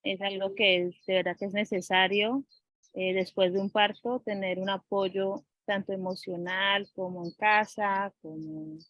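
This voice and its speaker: A woman interviewer asking questions conversationally, with hesitant, halting phrasing. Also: medium pitch, flat delivery, no room sound, dull audio.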